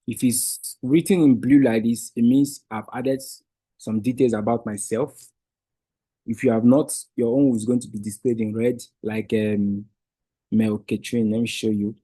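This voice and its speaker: A man's voice: mid-range voice, fairly even intonation, a little slow, mellow, slightly rough, no echo, rough recording. A man whose first language is Igbo, patiently walking viewers step by step through a screen-share tutorial. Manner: patient step-by-step explaining with restarts. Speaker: Wikidata trainer.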